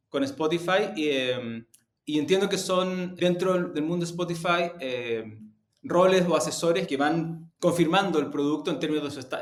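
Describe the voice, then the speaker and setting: A man giving reflective interview answers as a guest, deliberate and halting, often correcting himself. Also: on the high side. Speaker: interview guest, media executive. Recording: roomy echo on the voice.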